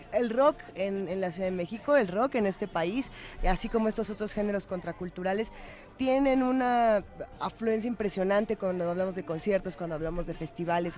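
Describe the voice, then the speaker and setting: A woman's voice: mid-range voice, darkish tone. A woman with a Mexican accent co-hosting a magazine show, giving discursive, conversational commentary and often checking for agreement with tag questions. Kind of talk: conversational on-air music commentary.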